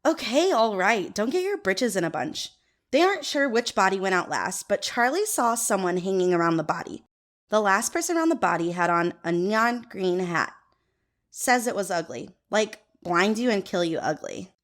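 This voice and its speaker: A woman's voice: medium pitch, big pitch swings, moderate pace, natural voice. A woman playing a gossipy, sassy, accusing wedding guest in an audio drama.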